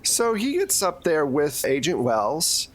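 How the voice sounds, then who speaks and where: Slow-paced man narrating a tabletop game. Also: fairly high pitch, wildly swooping pitch. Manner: casual, conversational scene-setting. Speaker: game master.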